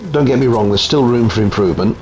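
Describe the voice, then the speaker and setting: A man's voice: medium pitch, quick pace, darkish tone, slight background noise, low-quality recording. A man from Italy with an Italian accent, chatting casually at home through gameplay commentary with frequent ums. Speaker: game reviewer.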